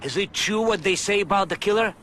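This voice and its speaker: Grumbling game gangster: superstitious, conspiratorial, high-pitched, recorded with noticeable noise behind. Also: male voice, a little fast, a bit bright. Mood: grumbling, wary. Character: uneasy, superstitious grumbling male mob henchman. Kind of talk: video game cutscene acting. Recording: clear noise and clicks behind voice.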